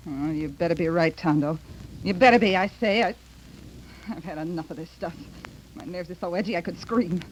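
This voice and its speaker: An actress playing an impatient, scornful, hard-bitten wife in brusque 1930s radio drama style. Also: female voice, lowish voice, brisk, lightly acted, low-quality recording. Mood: edgy, suspicious. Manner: brusque, scoffing. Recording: old 1930s radio recording, slight noise.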